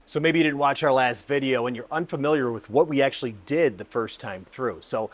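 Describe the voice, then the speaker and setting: Male car-video host, medium pitch, emphatic storyteller, enthusiastic and informal in explanation. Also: a little fast, faint music behind. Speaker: host of a car exhaust testing channel. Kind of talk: scripted narration and casual explainer to camera.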